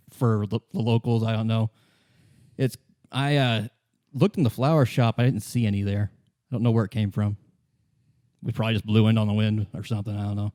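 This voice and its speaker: A man's voice: medium pitch, mellow, no room sound, clean studio sound, natural voice. A man playing a cynical, world-weary woman who smokes, in casual, evasive improvised dialogue for a tabletop roleplay. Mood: offhand, evasive.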